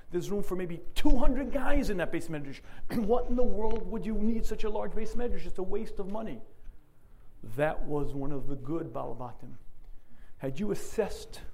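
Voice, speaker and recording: A middle-aged man giving an earnest Torah lecture, clearing his throat often. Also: on the high side, mellow, rough recording. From United States. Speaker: rabbi.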